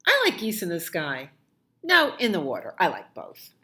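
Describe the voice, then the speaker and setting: A woman's voice: on the low side, wildly swooping pitch, moderate pace, pushed and bright, full character voice. A woman voicing an eager, playful young child in a picture book, emphatic and contrary, drawing out favourite words. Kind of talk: picture-book dialogue read aloud.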